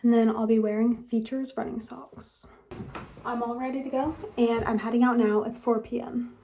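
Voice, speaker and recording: A woman's voice: fairly high pitch, little pitch movement, moderate pace, music in the background. A young woman in her late teens chatting casually into her phone camera at the beach and at home, rambling with frequent "like". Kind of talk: casual vlog talk to camera. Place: outdoors at the beach, then at home.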